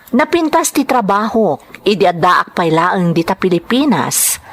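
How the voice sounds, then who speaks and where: A woman, hosting and reading out scripted greetings and a letter as narration. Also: mid-range voice. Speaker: drama show host and letter reader.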